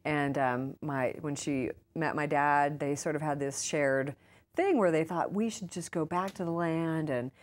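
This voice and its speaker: Very low-voiced American woman, casual. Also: unhurried. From United States. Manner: informal, peppered with "like" and "you know". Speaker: guest author and urban farmer. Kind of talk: casual interview conversation.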